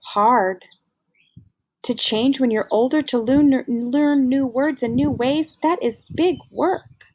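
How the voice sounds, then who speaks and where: A woman voicing a tired, soft-spoken aunt in a read-aloud of a novel, speaking slowly and thoughtfully. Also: sits high, pitched above the performer's own voice. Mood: reflective. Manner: slow, reflective reading of dialogue.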